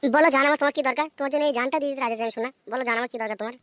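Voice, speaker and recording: A high voice acting as an eager, lecherous young Bengali village man in a cartoon comedy. He gushes sweet talk at a breakneck speed, cajoling all the way. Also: steady tone, rapid-fire, fairly bright tone, dry recording. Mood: eager, concerned. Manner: wheedling, cajoling.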